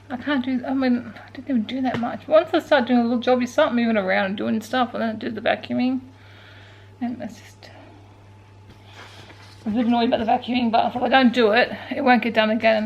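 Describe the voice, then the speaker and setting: An Australian woman in her forties with a croaky voice, talking casually and wearily through her day at home in a vlog. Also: fairly high pitch, highs cut off, a little hiss or hum. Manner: tired, rambling, self-talking. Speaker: vlogger. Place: indoors at home.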